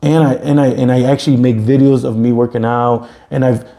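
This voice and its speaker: A man's voice: mid-range voice, level tone, a little fast, creaky, mellow. A man in his forties hosting a podcast, giving an earnest, repetitive pep talk in casual chat. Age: 40s. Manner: earnest, repeats himself, pep-talk style. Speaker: podcast host.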